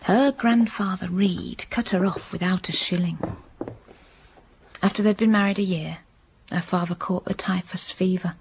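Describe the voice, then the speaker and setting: A kind young nursery maid, played by a woman speaks softly and soothingly. She sounds gentle and motherly in a period radio drama with faint hiss. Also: on the low side, steady tone, normal speed, lightly acted. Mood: sad. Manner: warm and soothing.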